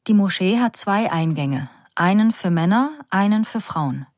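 A woman narrating a first-person story in a calm, measured, vivid way. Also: medium pitch, no room sound, clean studio sound. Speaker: audiobook narrator.

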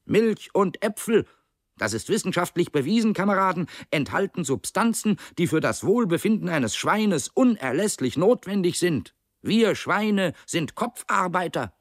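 A man voicing a glib, excitable pig propagandist in an animal fable audiobook, oratorical, insistent and pleading. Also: high-pitched, measured pace, no room sound, clean studio sound, pitched above the performer's own voice, full character voice. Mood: earnest, persuasive.

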